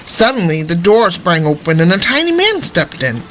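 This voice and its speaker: A woman's voice: lowish voice, big pitch swings, moderate pace, a bit bright, a little hiss or hum. A woman storyteller reading a fairy tale aloud expressively. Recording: music behind the voice. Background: background music.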